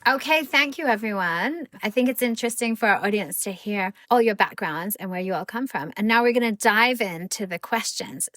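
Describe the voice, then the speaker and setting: A woman neuroscientist hosting a sleep science podcast, presenting clearly from a script. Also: mid-range voice, expressive, moderate pace, few pauses, fairly bright tone, no room sound.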